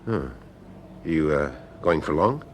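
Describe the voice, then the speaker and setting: An actor playing a wry, observant private eye in a radio mystery, with a husky voice and an informal way of talking. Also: male voice, rumbling low, animated, slow, slight background noise, heavily acted. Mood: probing. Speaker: lead actor and narrator in a detective audio drama. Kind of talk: first-person detective narration and dialogue.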